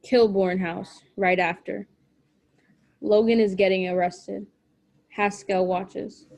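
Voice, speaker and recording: A woman reading scripted stage directions at a table read in an even, matter-of-fact way. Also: medium pitch, slow, vocal fry, a little hiss or hum.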